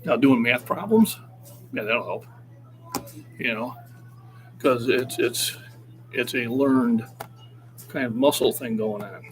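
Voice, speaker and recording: A man's voice: mid-range voice, expressive, takes it slowly, long pauses, slight background noise, poor audio. A man in his sixties, a fitness coach talking casually on a livestream and urging viewers to keep active. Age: 60s. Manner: encouraging, motivational.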